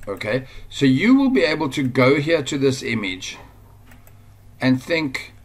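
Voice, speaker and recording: Casting: South African man, mid-pitched, off-the-cuff screen-recorded tutorial, chatty and rambling. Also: big pitch swings, measured pace. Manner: rambling, informal, many fillers and restarts. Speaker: online course instructor. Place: at a computer, voice-over screen recording.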